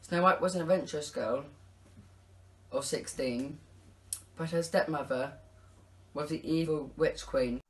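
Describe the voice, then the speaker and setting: A narrator reading rhymed pantomime narration haltingly, stumbling over and repeating words. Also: mid-range voice.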